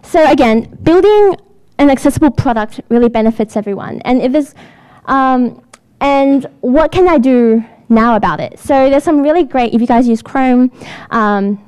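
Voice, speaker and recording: A woman's voice: high-pitched, normal speed, dark tone, smooth. A woman giving a casual, upbeat conference talk, with lots of fillers. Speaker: software engineer giving a talk. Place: event hall with an audience.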